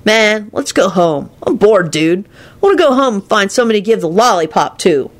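A woman's voice: mid-range voice, lively intonation, moderate pace, lightly acted. A woman voicing a rude, easily irritated boy in a storybook, with blunt, slangy slacker talk. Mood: bored. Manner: drawling slang, says dude and man constantly.

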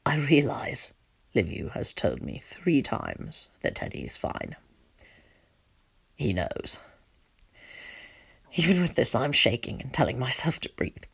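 A woman voicing a shaken, distraught father, narrating in a hushed, halting and tense way. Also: a bass voice, wildly swooping pitch, creaky, husky, pitched below the performer's own voice, full character voice. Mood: stunned relief.

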